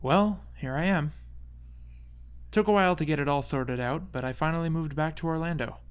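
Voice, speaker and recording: A man's voice: a little high, moderate pace, background noise. A man narrating a scripted video essay in a wry, casual storytelling manner, with dramatic touches. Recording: music playing behind voice.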